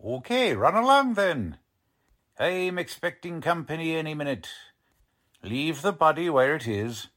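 Cocky, swooping 1940s police lieutenant. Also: male voice, a little high, very animated, unhurried, fairly bright tone. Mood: businesslike. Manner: brusque, slangy, ungrammatical tough-cop talk. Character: cocky, condescending male police lieutenant, brusque 1940s gumshoe. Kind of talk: 1940s radio drama acting.

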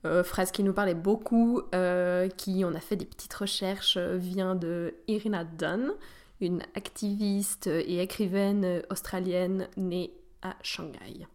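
Woman, native French speaker, casual podcast chat, hesitant, soft voice. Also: lowish voice, fairly even intonation, slow pace. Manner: hesitant, many fillers, soft trailing endings. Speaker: podcast co-host.

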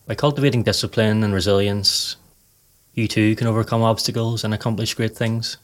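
A man narrating a scripted guided meditation in a calm, measured, soothing way. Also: fairly low pitch.

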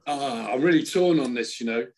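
A man co-hosting a casual podcast, praising tracks with plenty of restarts and fillers. His voice is mid-pitched and conversational. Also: normal speed. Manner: enthusiastic, hesitant restarts. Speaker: podcast co-host. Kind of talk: casual chat.

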